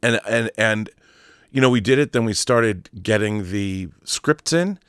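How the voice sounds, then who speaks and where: A man's voice: lowish voice, a bit bright, smooth, no echo. Man talking bluntly, close dry sound. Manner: halting, repeats words for emphasis; blunt, sweary. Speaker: YouTube host and producer. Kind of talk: casual, opinionated monologue to viewers.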